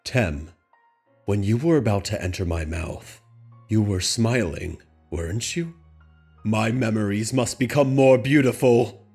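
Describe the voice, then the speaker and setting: A man voicing a large, brooding, delusional grizzly bear student in a dramatic manga dub, with an ominously tender, hushed manner. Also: on the low side, unhurried, slightly rough, faint music behind. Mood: brooding, wistful.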